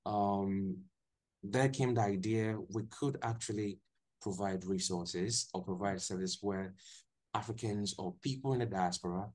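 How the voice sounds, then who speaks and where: A young man with a Ghanaian accent, a guest telling his story in a relaxed way on a video call from a small room, often restarting his sentences. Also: lowish voice, unhurried. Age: young adult. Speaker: guest, English teacher. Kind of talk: casual video-call interview.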